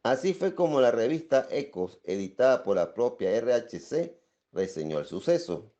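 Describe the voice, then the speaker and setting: A man giving scripted documentary narration in a steady, even tone. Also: medium pitch, normal speed, dull audio.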